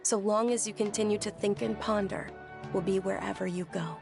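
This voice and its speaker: An actress playing a shy, gentle young woman who tells an old legend, speaking softly and hesitantly, with game music behind. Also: mid-range voice, steady tone, a little fast, creaky, lightly acted. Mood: storytelling. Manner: soft, hesitant, stammers when nervous. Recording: game audio with background music.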